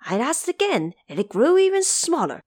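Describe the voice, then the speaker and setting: A woman's voice: high voice, sing-song, moderate pace, darkish tone, dry recording, clean studio sound, voice pushed up. A woman voicing a boastful, gleeful male Monkey King in an audio drama, proud and showy with a commanding streak. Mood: boastful, pleased. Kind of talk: audio drama character acting.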